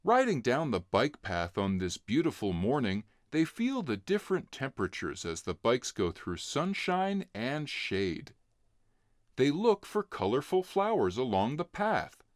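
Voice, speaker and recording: Male narrator for a kids' read-along, very lively intonation, unhurried, dry close sound. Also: medium pitch, no echo. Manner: expressive, clearly acting out dialogue. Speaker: narrator reading a children's book. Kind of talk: scripted storybook narration for young children.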